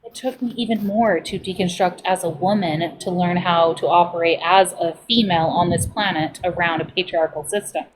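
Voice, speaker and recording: A woman's voice: lowish voice, normal speed, hardly any pauses. A woman chatting casually as a guest on a livestream, insistent and opinionated. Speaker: live stream guest. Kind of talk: casual chat. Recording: clear background noise on livestream audio.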